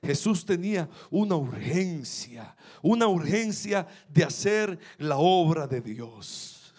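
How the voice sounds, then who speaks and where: A man's voice: on the high side, animated, takes it slowly, darkish tone. A man preaching a sermon, fervent and repetitive, building to emphatic peaks. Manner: fervent, rising to emphatic peaks, repeats phrases.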